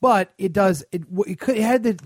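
Podcast guy, bit of a high voice, sardonic n opinionated, just chatting, super dry recording. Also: brisk, dry recording. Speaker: podcast co-host. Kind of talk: casual chat about film and television.

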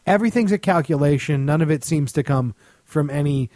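A man's voice: a little high, a little fast, darkish tone, no room sound, studio quality. An American man giving casual comic commentary in a blunt, mocking manner. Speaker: co-host guest on a comedy radio show.

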